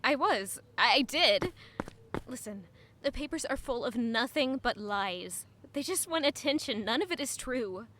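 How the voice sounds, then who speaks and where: A woman's voice: fairly high pitch, lively intonation, unhurried, noisy background. An actress playing a guarded, wistful young lady-in-waiting in an audio drama, speaking earnestly and introspectively. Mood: defensive. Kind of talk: audio drama narration and dialogue.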